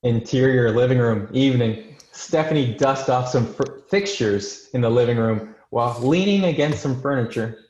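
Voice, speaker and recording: A man's voice: mid-range voice, normal speed, hardly any pauses, darkish tone. A man hosting and reading scripted introductions and screenplay narration, recorded in a roomy, muffled sound. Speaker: host and stage-direction narrator. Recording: roomy, dull-sounding microphone.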